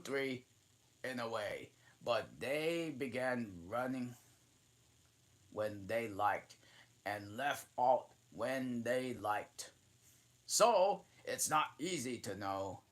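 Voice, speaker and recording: A man reading a children's classic aloud in a halting way, often restarting and correcting himself mid-sentence. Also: mid-range voice, lively intonation. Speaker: channel host reading aloud.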